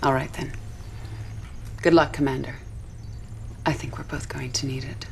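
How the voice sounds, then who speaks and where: A very low-pitched, intense woman commander from a war game cutscene. She is guarded and ambitious, speaking with controlled urgency over faint background noise. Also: fairly even intonation, moderate pace, vocal fry, darkish tone. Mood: guarded, suspicious. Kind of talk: dramatic video game cutscene acting.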